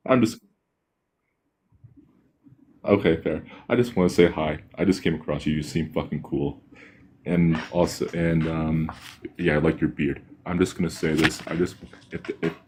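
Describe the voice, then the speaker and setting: A man in his twenties with a very deep voice, chatting casually in a halting, shy way with many false starts. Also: steady tone, darkish tone, a little hiss or hum. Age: 20s. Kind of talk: casual chat.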